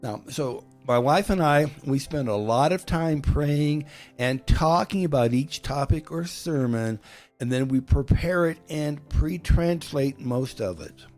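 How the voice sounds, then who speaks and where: A man, a pastor, speaking in measured phrases and pausing so his words can be interpreted. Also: fairly high pitch, lively intonation, a little mellow, faint music behind. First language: English. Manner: slow, measured, with pauses for interpretation. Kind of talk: bilingual sermon-style talk.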